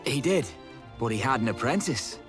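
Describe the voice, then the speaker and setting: A man's voice: mid-range voice, expressive, normal speed, lightly acted. A man playing a loyal, helpful mercenary in a fantasy video game cutscene. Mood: confident, helpful. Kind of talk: English game cutscene voice acting. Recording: music behind the voice.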